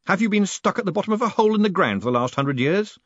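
A man voicing a sharp, sarcastic young con man who talks quickly and impatiently. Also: high voice, animated, no room sound, voice pushed up. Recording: dull lo-fi recording.

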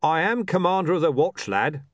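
A gruff, commanding police chief, voiced by a male narrator for a storybook. He is curt, suspicious and quick to swear, with the voice pushed up high and swinging widely in pitch. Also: lively intonation, no echo, clean studio sound, natural voice. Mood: suspicious, irritable.

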